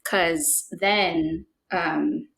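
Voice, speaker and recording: A woman's voice: on the low side, steady tone, drawn-out words, creaky, fairly bright tone, rough recording. A woman from the Philippines, a psychotherapist, talking casually and reflectively on a podcast, thinking aloud with fillers and restarts. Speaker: guest psychotherapist.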